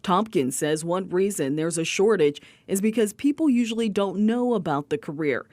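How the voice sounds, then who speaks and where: A woman's voice: medium pitch, expressive, normal speed, darkish tone. A woman with an American accent reading scripted broadcast news narration as a TV reporter.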